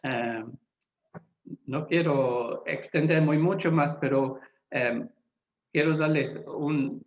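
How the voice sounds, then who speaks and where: A man who is not a native Spanish speaker, a Bible translator giving a lecture-style talk, deliberate and often pausing to search for words. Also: fairly high pitch. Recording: echoey, tinny phone-like sound.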